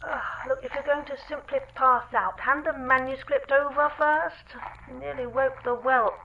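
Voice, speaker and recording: Exasperated female crew member, formal sarcasm, raised pitch, dull lo-fi sound. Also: fairly even intonation, moderate pace, pushed and bright. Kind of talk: audio drama comedy acting.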